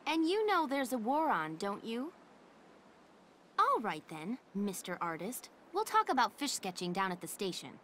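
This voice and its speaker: A woman playing a brisk, suspicious young town watch guard in a video game, spirited and bossy. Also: a little high, sing-song, a bit bright, a little hiss or hum.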